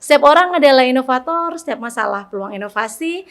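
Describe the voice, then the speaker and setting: A woman's voice: high voice, expressive, quick pace, fairly bright tone. A woman hosting a podcast, chatting casually with guests and encouraging listeners in a friendly, filler-rich way. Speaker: podcast host. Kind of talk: casual interview chat.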